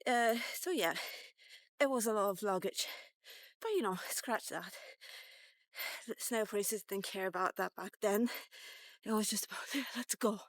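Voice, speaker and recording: Woman, fifties, informal storytelling vlogger, very unhurried, pausing to think, dry close sound. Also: mid-range voice, expressive, dry recording. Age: 50s. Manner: halting, thinking aloud, with drawn-out pauses. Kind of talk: informal personal storytelling, told as a tale in third person. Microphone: walkie-talkie vlog, recorded on the go.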